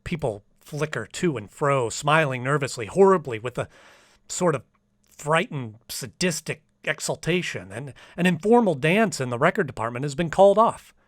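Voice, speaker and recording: A man's voice: on the high side, expressive, moderate pace, no echo, studio quality, close to an everyday voice. A man playing an anxious, sensitive young man in a radio drama, speaking in an earnest, faltering, dramatic way. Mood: dread, bitter. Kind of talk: old-time radio drama acting with diary narration.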